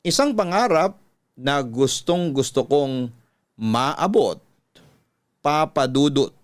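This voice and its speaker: A man reading a first-person story in a measured, sentimental way, in the style of classic radio storytelling. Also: on the high side, lively intonation. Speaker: radio storytelling host reading a listener's letter.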